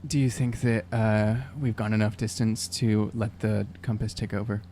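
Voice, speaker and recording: A man playing a tabletop game, improvising in a casual, halting way as he searches for words. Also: lowish voice, little pitch movement, mellow, slight background noise. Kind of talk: casual improvised role-play chat.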